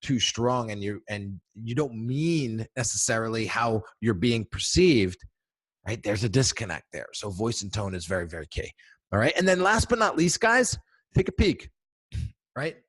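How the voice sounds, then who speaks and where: Deep-voiced man coaching with punchy emphasis. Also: normal speed. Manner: energetic, emphatic, addresses viewers directly with frequent "right?" and "okay?". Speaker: brand coach. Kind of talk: instructional video talk.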